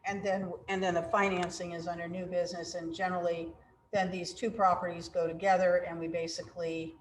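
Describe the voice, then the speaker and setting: A woman's voice: on the low side, flat delivery. Woman chairing a council meeting. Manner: brisk, procedural, calls roll by name. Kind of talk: formal meeting procedure. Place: council chamber. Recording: echoey council chamber with faint noise.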